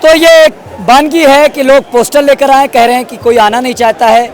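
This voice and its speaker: A man's voice: extremely high pitch, fairly even intonation, normal speed. A man reporting from a protest, asking probing questions and then summing up earnestly to the camera. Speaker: street reporter. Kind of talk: street interview at a protest. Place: outdoor protest site. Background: protest crowd.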